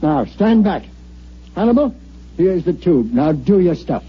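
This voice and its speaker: An actor playing a brash, commanding newspaper editor who talks in punchy orders, in 1950s radio drama style. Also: male voice, on the high side, big pitch swings, measured pace, husky, heavily acted. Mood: brisk, triumphant. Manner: bossy, punchy, wisecracking. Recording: muffled old-time radio recording.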